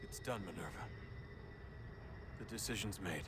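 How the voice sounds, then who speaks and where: A man playing a resolute young hero in a video game, plain-spoken, earnest and firm. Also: measured pace. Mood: resolved, grave. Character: resolute young male hero facing sacrifice. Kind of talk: naturalistic video game cutscene acting. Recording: clear background noise and rumble.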